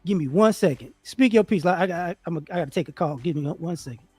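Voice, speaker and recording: A high-pitched man in a relaxed livestream chat, talking in loose slang and acting out bits of his stories. The sound is dry and close, with faint music under it. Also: quick pace, slightly rough, dry recording. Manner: slangy, animated storytelling with many restarts. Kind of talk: casual discussion. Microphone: remote call setup.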